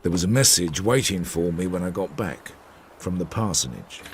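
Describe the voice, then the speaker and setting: An actor playing a reflective investigator who narrates his case in a radio drama, measured, wry and confiding. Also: low-pitched, expressive, noisy background. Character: reflective male investigator narrating his inquiry, working man.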